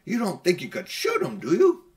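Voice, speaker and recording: A man's voice: high-pitched, huge pitch swings, normal speed, a little mellow, pitched above the performer's own voice. A man reading a storybook, voicing a blunt, plain-spoken working-class cab driver. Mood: scoffing.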